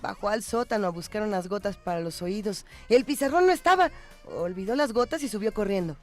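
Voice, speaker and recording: A woman reading a story aloud expressively, with deliberate emphasis on key phrases. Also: medium pitch, expressive, soft background music.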